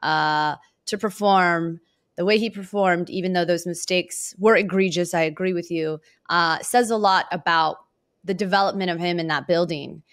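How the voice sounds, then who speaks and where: Low-pitched, casual female sports podcast host. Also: fairly even intonation, no echo, clean studio sound. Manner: halting, loosely phrased, thinking aloud. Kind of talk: casual football interview chat.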